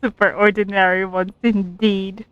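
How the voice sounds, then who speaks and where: A woman's voice: medium pitch, flat delivery, moderate pace, fairly bright tone, clear, resonant tone, no room sound, dull audio. A woman streaming a game, giving casual, amused and giggly commentary. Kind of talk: casual live gameplay commentary, reading some dialogue aloud.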